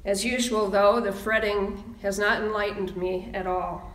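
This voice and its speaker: A woman minister speaking in a measured, deliberate sermon style, pausing for emphasis as she reads and preaches. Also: medium pitch, steady tone, a bit bright. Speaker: minister leading a church service. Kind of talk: sermon and slow, solemn readings. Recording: roomy, echoing sound.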